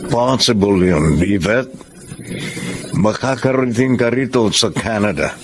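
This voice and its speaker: An actor playing an eager rich foreign suitor in a radio drama, courteous and earnest, dropping in English words. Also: male voice, fairly low pitch, a little slow, background noise, lightly acted. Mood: eager, persuasive. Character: smooth-talking, eager rich Canadian male suitor. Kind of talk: Filipino radio drama acting. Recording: music under the voice.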